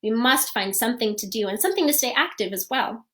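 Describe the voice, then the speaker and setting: A young woman playing a sweet, gracious fairy-tale princess, greeting young fans one by one in a gentle, gushing, storybook-polite manner. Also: fairly high pitch, lively intonation, quick pace. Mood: sweet, welcoming. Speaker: costumed princess performer.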